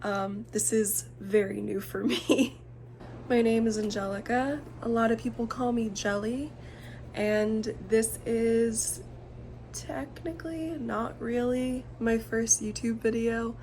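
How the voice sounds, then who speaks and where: Nervous young woman, slow hesitant talk. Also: fairly high pitch, steady tone, darkish tone, slight background noise, poor audio. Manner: nervous, self-conscious, hesitant with fillers. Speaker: vlogger. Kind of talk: casual talk to camera. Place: indoor room. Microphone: camera mic.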